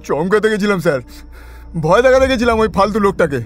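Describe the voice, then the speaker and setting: Casting: cornered petty thug, high agitated voice, wide swings, stammering pleas, crime audio drama. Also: male voice, lively intonation, fast talker. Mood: agitated, resentful. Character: frightened cornered slum tough, pleading innocence to police.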